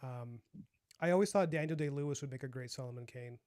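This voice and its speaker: A man's voice: medium pitch, fast talker, a little mellow. A young man with a light, youthful voice, chatting casually on a livestream and rambling with lots of fillers. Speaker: streamer and comic artist.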